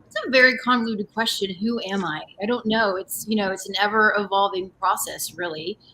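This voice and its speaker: A woman's voice: fairly quick, sharp tone, slight background noise, low-quality recording. A woman speaking as a guest on a panel, talking loosely with many fillers and restarts about her healing work. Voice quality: voice says it has grown deeper. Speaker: panel guest, energy healer. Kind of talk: casual panel chat.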